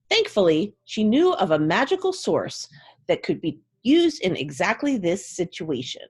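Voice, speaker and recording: Female narrator for a dramatic storytime: husky mid-pitched voice, hugely varied intonation, theatrical delivery. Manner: theatrical, expressive.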